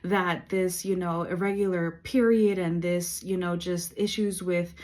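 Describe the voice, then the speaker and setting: A woman's voice: lowish voice, steady tone. Earnest woman in her late thirties. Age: 30s. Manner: earnest, reflective, soft-spoken with pauses and fillers. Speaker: vlogger. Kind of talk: personal faith vlog.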